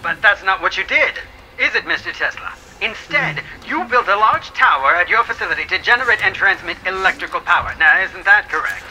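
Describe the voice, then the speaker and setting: A man's voice: high-pitched, lively intonation, brisk, pushed and bright, a little hiss or hum, exaggerated voice, low-quality recording. A man playing a stern, scornful government attorney in a courtroom audio drama, cross-examining in a pompous, clipped, theatrical manner. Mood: pressing.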